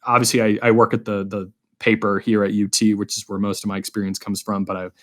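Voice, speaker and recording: A man's voice: on the low side, level tone, fast, a bit bright. A man in his early twenties hosting a podcast in a casual, informal, rambling way. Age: early 20s. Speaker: podcast host.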